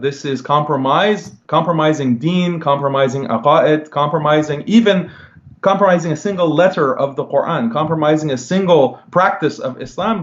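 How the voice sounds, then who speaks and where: A man's voice: fairly high pitch, muffled recording. A man explaining religious terms in a discussion and asking the sheikh to correct him.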